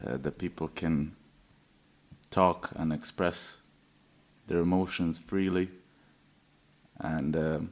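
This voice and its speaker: A man's voice: extremely low pitch, fairly even intonation, slow, pauses often. A man in his twenties from Serbia, giving a personal testimony hesitantly, with many fillers and restarts. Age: 20s. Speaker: interviewee.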